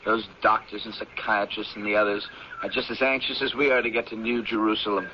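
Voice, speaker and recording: An actor playing a driven, earnest young expedition leader in a radio drama, speaking dramatically. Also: male voice, fairly low pitch, fairly even intonation, a little fast, close to an everyday voice. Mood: eager, confident. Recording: muffled, noisy old radio recording.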